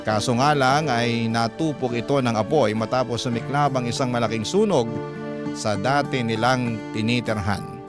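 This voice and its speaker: Male host for dramatic letter readings: medium pitch, earnest classic radio narration, strong music bed. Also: normal speed. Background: prominent background music.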